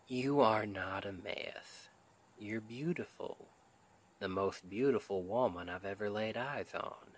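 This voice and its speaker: A man playing a tender, reassuring Southern husband in an intimate ASMR roleplay, with a Southern US accent and a soothing, gentle, often half-whispered delivery. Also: on the low side, takes it slowly, long pauses. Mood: earnest, loving. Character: tender, reassuring Southern husband comforting his stressed wife.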